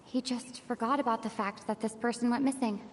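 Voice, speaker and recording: A thoughtful young woman adventurer from a video game, played by an actress. Her voice sits a little high and is measured and analytical. It carries a long reverb, with clear noise behind it. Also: fairly even intonation, lightly acted. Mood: pondering. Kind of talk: video game dialogue acting.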